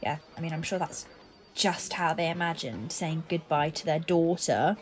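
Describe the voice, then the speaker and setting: A woman playing a composed, dry-humoured police detective in a naturalistic audio drama, with a measured, professional manner. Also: deep voice, natural voice. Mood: dry, amused. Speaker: actor in an audio play.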